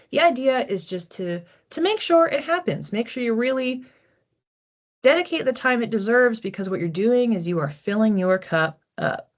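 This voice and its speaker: A woman reading oracle cards for a general audience in a relaxed, chatty way, thinking aloud as she goes. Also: medium pitch. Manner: chatty, thinking aloud with restarts and soft laughs. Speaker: psychic card reader. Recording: slightly dull lo-fi audio.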